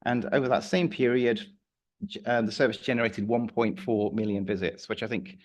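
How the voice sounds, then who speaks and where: A man with a slightly croaky voice giving a formal report to a council committee, measured and a little hesitant. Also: fairly low pitch, fairly even intonation. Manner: measured, with some fillers and restarts. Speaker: council officer presenting a report. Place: council meeting room.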